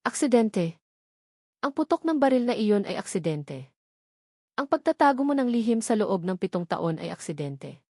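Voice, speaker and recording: A woman's voice: mid-range voice, big pitch swings, normal speed, long pauses, a little mellow, dry recording, clean studio sound. Woman acting a bitter, unyielding wife. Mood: bitter, accusing. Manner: accusing, emphatic repetition.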